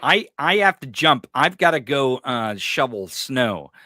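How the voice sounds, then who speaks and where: Man, joking co-host, lively pitch swings, bright forceful tone, halting repetitive delivery. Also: no room sound, studio quality. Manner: joking, halting, repeats words. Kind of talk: casual banter.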